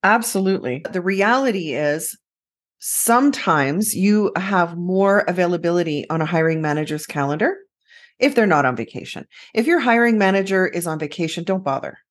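A woman's voice: on the low side, expressive, moderate pace. A woman co-hosting a podcast, giving direct, emphatic hiring advice with confidence. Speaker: podcast co-host, recruiting expert. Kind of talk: casual conversation about recruiting.

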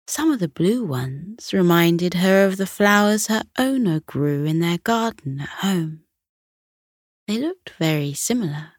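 A woman narrating a scripted bedtime story for children in a gentle, measured way. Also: fairly low pitch, breathy edge, dry recording, clean studio sound.

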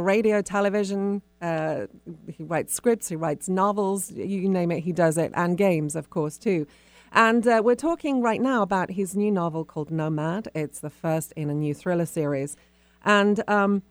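A woman's voice: on the low side, no room sound. A woman hosting a radio interview, introducing her guest and putting questions in an informal, conversational way. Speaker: radio show host interviewer.

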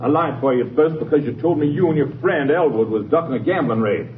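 A man's voice: a little high, fast, natural voice. An actor playing a frightened, angry rural Texan businessman in a 1950s radio western, scolding in an agitated, folksy way. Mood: urgent anger. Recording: muffled, noisy old radio, distant from mic.

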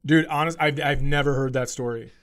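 A man's voice: mid-range voice, fairly even intonation, a little fast, a bit bright. A man hosting a podcast, chatting casually and jokingly with informal, sweary talk. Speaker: podcast host. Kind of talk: casual comedic podcast chat.

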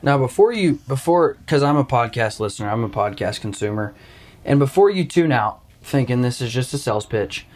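A man's voice: mid-range voice, lively intonation, a little fast, a little mellow. A young man from Arkansas hosting a hunting podcast in a casual, joking, rambling way. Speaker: podcast host. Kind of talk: casual chat.

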